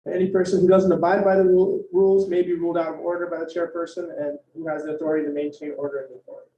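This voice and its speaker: A man chairing a public planning meeting, reading the procedural rules in a measured, formal way. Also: on the high side, little pitch movement, recorded from a distance. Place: meeting hall. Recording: dull, roomy audio from off-mic.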